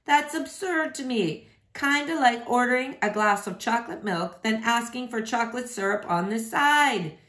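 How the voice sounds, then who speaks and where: A woman reading a novel in verse aloud in an expressive storytelling style. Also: fairly high pitch, normal speed. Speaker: read-aloud reader.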